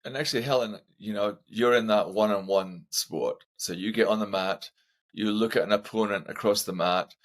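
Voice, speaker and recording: A man's voice: lowish voice, normal speed. A Scottish man hosting a podcast interview, chatty and self-deprecating, asking eager questions. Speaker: podcast host. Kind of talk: casual interview.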